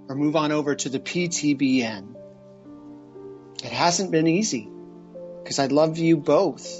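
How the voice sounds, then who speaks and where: A man's voice: on the high side, dull audio, close to an everyday voice. A man playing a torn, earnest figure giving an overwrought, solemn farewell in a soap-opera parody.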